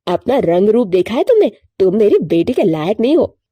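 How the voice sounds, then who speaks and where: A woman voicing a proud, disapproving mother bird who talks in a haughty, scolding way in an animated tale. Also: mid-range voice, normal speed, no room sound, lo-fi sound.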